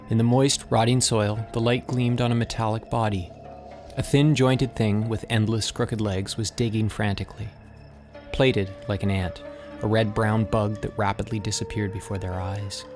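A man reading scripted narration of a suspense story as an audiobook narrator. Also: lowish voice.

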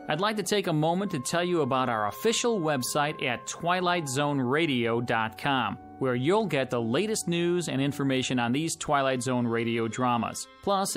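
A man, the series producer, reading a friendly promotional announcement. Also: a bit bright. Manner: upbeat, friendly pitch.

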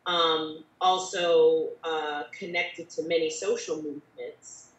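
A woman's voice: low-pitched, steady tone, takes it slowly. A woman in her thirties making earnest, formally phrased points in a panel discussion over a video call. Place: bedroom at home. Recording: dull, roomy, distant video-call sound.

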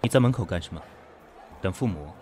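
An actor playing a composed, proud businessman in his thirties in a Chinese audio drama, measured, dry and cutting. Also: sits low, expressive, noisy background. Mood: cool, sarcastic. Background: background music.

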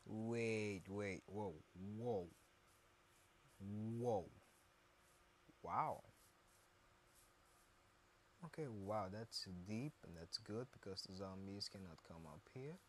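Slow, low-voiced man playing a game. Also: a little hiss or hum. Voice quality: deep voice. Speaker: gaming video host. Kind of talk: casual gameplay commentary.

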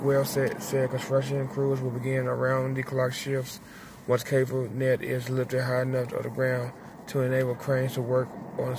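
A man in his forties reading a newspaper article aloud in a parked car, halting and restarting words, with his own asides. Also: medium pitch. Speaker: vlogger reading the news aloud. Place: inside a parked car at dusk. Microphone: phone held at arm's length. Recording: phone audio with clear car and road noise.

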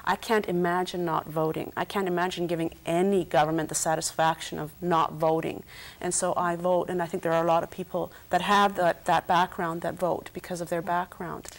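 Earnest woman guest, lowish voice. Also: level tone, fairly quick. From Canada. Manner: earnest, repeats words while thinking. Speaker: politician guest. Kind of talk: studio panel discussion. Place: TV studio set, indoors.

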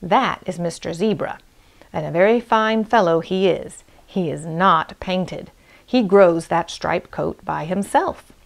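A woman's voice: on the low side, slow, gravelly. A woman voicing a kindly adult male chimpanzee in a storybook, patiently explaining and reassuring. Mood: approving. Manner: explaining patiently to a little bear.

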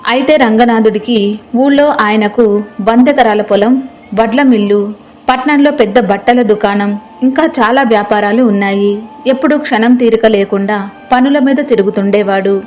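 A woman's voice: on the high side, moderate pace, a little mellow. A woman reading a scripted moral folk tale as narrator. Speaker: story narrator.

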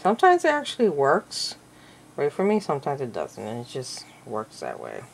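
Croaky low-voiced woman crafting. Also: huge pitch swings, normal speed, a little hiss or hum. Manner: musing aloud, gushing over her work. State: getting sick. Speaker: craft channel host. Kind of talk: casual crafting commentary. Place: indoors at a craft table.

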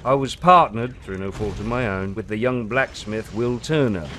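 An actor voicing a cocky, sly pirate rogue in a video game, glib and drawling, with mock-grand storytelling. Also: male voice, lowish voice, moderate pace, fairly bright tone, a little hiss or hum, close to an everyday voice. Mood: boastful storytelling. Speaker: narrator and lead character. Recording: music under the voice.

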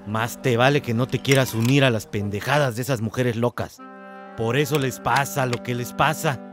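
An actor playing a scornful, controlling village husband in a radio drama, gruff and bossy. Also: male voice, mid-range voice, steady tone, moderate pace, natural voice. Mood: scornful, menacing. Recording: music under the voice.

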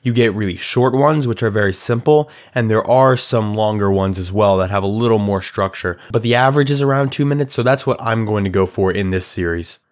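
Young male tutor, slightly raspy, lower register, laid-back conversational delivery, home desk mic. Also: brisk, few pauses. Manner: relaxed, chatty, filler-heavy explaining. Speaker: music production tutor. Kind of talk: casual tutorial walkthrough. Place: small room at home.

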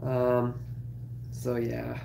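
Raspy, congested woman streaming from home. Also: extremely low pitch, monotone, very slow, mellow, roomy sound, slight background noise, rough recording. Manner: chatty, greets viewers while crocheting. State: congested, sore throat. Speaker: craft livestream host. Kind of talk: casual livestream chat. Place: small home craft room, indoors.

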